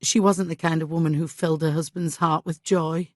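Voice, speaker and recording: An actress playing a middle-aged medieval village widow in a video game, devout and guilt-ridden, with an exasperated, imperious and plaintive manner. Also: female voice, low-pitched, steady tone, brisk, a little mellow, no room sound, clean studio sound. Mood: guilty, remorseful. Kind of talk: dramatic video game dialogue acting.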